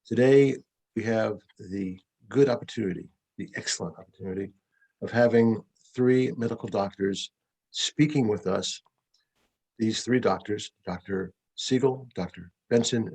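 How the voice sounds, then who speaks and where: A gravelly, husky man hosts a video call interview with doctors. He lays on the compliments, often repeating himself, in a rough, low voice. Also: darkish tone, low-quality recording.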